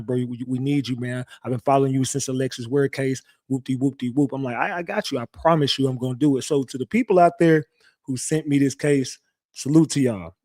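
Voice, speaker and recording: A young man with a slightly raspy, recovering voice, hosting a casual live stream and talking loosely to his chat with slang and lots of repeats. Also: mid-range voice, dark tone, no echo, clean studio sound. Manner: loose, repetitive, talks straight to chat with slang. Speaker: live-stream true crime host. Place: home streaming setup.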